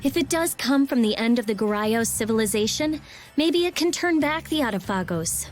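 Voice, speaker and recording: A woman voicing a brash, prickly teenage girl genius in a video game, brusque and excitable. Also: on the high side, moderate pace, faint music behind, natural voice. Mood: amazed. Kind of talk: English video game voice acting.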